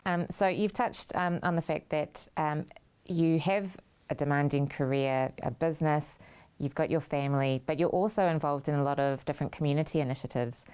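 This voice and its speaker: A woman hosting an interview, asking measured, clearly phrased questions. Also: deep voice, fairly even intonation, a little mellow. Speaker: interview host.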